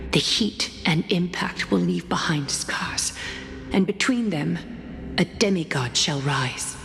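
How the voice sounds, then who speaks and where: An actress playing a solemn priestess in a video game, chanting a formal ritual in a ceremonial manner. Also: female voice, on the low side, vocal fry. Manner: formal, incantatory. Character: solemn priestess presiding over a warrior's trial ritual. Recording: long reverb, music behind.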